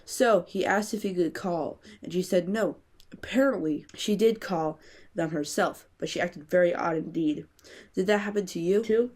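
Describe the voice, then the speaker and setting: A woman's voice: fairly low pitch, normal speed, darkish tone, lightly acted. A woman as an echoey, fretful brainy child. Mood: earnest, nervous. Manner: fretful, wordy, complaining. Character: anxious, squeamish, brainy young child. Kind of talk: family audio drama acting. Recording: very echoey, distant, faint noise.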